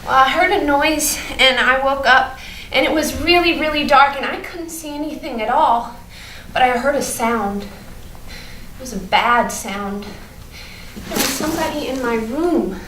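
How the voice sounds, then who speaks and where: A woman playing an innocent, chatty but fearful first-grade girl in a theatrical monologue, halting and repeating her words. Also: sits high, pushed and bright. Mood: frightened. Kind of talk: theatrical monologue at a staged reading. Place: indoor room at a live staged reading. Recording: roomy, distant, noisy live stage recording.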